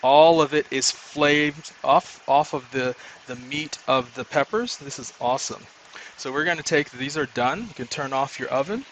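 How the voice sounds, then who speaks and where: A man's voice: mid-range voice, normal speed, fairly bright tone, slight background noise, rough recording. A young man from Nigeria, chatting casually as he cooks in his home kitchen and explains each step. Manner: chatty, explains while working. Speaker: home cook. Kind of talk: casual cooking video.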